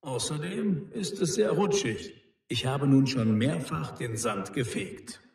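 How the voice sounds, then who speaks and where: A man's voice: mid-range voice, big pitch swings, takes it slowly, creaky, darkish tone, slightly rough, heavily acted. A man playing a fault-finding, formal neighbour in a scripted language-lesson dialogue. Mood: reproachful. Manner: reproachful, formal. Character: grumbling, fault-finding male neighbour in an apartment house.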